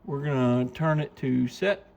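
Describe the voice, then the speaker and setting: A man's voice: medium pitch, unhurried, gravelly, a little mellow, dull audio. A man giving a casual, plainspoken hands-on product walkthrough, explaining things step by step in a folksy way. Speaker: radio shop owner presenting a product demo. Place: radio shop, indoors.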